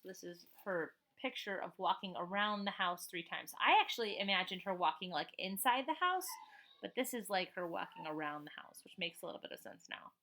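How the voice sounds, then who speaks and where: A woman reading a children's book aloud like a teacher, expressively, with chatty asides in an animated, emphatic manner. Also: fairly low pitch, fairly quick, slight background noise.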